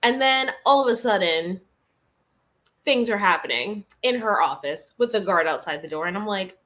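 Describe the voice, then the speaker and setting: A woman's voice: medium pitch, lively intonation, moderate pace, fairly bright tone. A woman in a casual chat about books, speaking informally with slang and some swearing. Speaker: guest on a book reading livestream.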